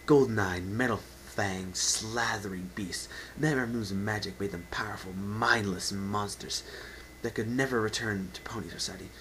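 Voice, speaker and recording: A man with a fairly low voice narrates a story as a dramatic reading. His delivery is theatrical and emphatic, unpolished and unedited. It is an amateur home recording with faint background noise. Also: moderate pace, sharp tone, poor audio.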